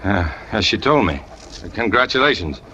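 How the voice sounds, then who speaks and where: An American actor playing a brooding, lovesick trapeze artist turned killer, terse and hard-boiled, in 1940s radio noir style. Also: male voice, on the low side, very animated, normal speed, bright, forceful tone, lo-fi sound, slight background noise. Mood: guarded. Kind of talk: 1940s radio noir acting, confessional narration. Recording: old 1940s radio recording with music.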